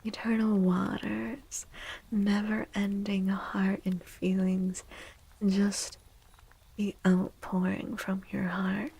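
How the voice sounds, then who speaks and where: A woman streamer giving a soft-spoken ASMR tarot reading, gentle and unhurried, pausing between phrases and often slipping into a breathy whisper. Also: mid-range voice, level tone, slight background noise, poor audio.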